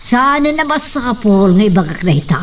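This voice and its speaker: A woman's voice: fairly high pitch, big pitch swings, moderate pace, far from the mic. A woman acting a stern, commanding figure in an Ilocano audio drama, speaking with an Ilocano accent. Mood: stern, demanding.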